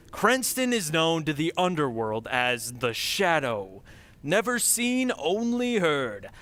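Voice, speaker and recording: A man's voice: on the high side, a bit bright. A man announcing and narrating an old-time radio show in a theatrical, dramatic scripted style.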